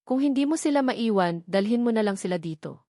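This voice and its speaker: A woman narrator voicing a male CEO in an audio drama, curt and authoritative but softening. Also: fairly high pitch, expressive, fairly quick, dry recording, studio quality, close to an everyday voice. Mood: firm, softening.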